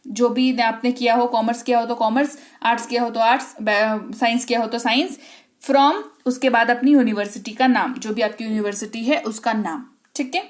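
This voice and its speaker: Young Indian woman teacher, fairly high voice, deliberate and emphatic. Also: fairly even intonation. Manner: slow, emphatic, checks understanding often. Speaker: English teacher. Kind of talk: spoken English lesson.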